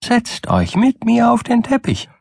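A tiny, nimble magical little man from a children's storybook, acted out by a male narrator. The voice is raised high and swings brightly up and down. He sounds cheerful, friendly and chirpy, in a dry close recording. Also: wildly swooping pitch, unhurried, creaky, slightly rough, no room sound, full character voice. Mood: friendly, eager. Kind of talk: storybook character voice.

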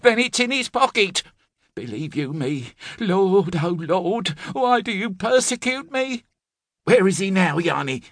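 A man's voice: high-pitched, sing-song, measured pace, no room sound, studio quality, voice pushed up, full character voice. A man reading an audiobook, voicing a frantic, melodramatic woman who runs an orphanage laundry and frets and wails. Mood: panicked. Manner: melodramatic, anxious, wailing.

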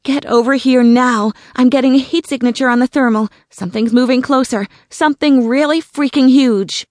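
A woman's voice: medium pitch, moderate pace, dry recording, clean studio sound, close to an everyday voice. A woman voicing a bold young female cryptid hunter barking urgent orders into a radio. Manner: urgent, bossy, shouting into a radio.

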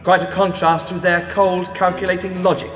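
Man playing a highish, proud military officer. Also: flat delivery, normal speed, natural voice. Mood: smug debating. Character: confident military officer, proud, intellectual trophy hunter. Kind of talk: sci-fi audio drama acting. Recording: echoey hall, faint music behind.

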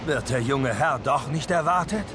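An actor playing an anxious stagecoach driver calling out loudly to his passenger in an audio drama. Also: normal speed, close to an everyday voice. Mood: urgent calling. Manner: loud, high-strung calling. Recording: very noisy outdoor ambience effects.

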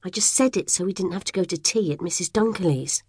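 A woman's voice: on the low side, fast, darkish tone, slightly rough, no room sound, studio quality. A woman voicing a bossy, impatient young girl. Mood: scornful.

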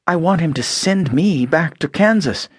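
Young girl, man voicing, soft and gentle, raised pitch, slow delivery. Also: lively intonation, breathy edge, dry recording, clean studio sound. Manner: soft, kind.

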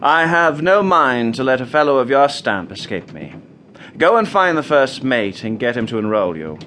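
A curt steamer captain. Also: male voice, medium pitch, very animated, moderate pace, sharp tone, slight background noise. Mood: stern. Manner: curt, commanding. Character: stern brisk male steamer captain. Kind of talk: radio drama acting.

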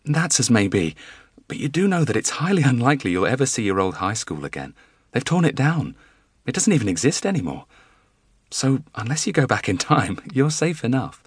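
A soft, steady young wife whose voice rides well above the reader's own, gentle and caring, as a male storyteller puts her on. She speaks in a calm hush, with concern under the calm. The recording is close and dry. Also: mid-range voice, fairly quick, slightly rough, no echo, voice pushed up. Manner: soft and steady, softly whispered.

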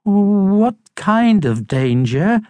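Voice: medium pitch, very animated, drawn-out words, mellow, no room sound, pitched above the performer's own voice. A storybook narrator voicing a timid, fretful young male hamster sidekick. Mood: timid, hesitant. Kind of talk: storybook character reading.

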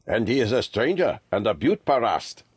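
A man's voice: medium pitch, very animated, normal speed, a little mellow, slightly rough, dry recording. A man voicing a proud, scornful young Indian boy in a storybook reading.

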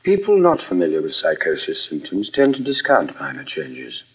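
An actor playing a troubled psychiatrist in an old radio drama, earnest, formal and hesitant. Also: male voice, deep voice, wildly swooping pitch, moderate pace, breathy edge, exaggerated voice. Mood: brooding narration. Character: troubled, brilliant psychiatrist fearing for his own sanity. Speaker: narrator and lead actor. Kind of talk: old radio drama acting, first-person narration. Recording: dull vintage radio recording.

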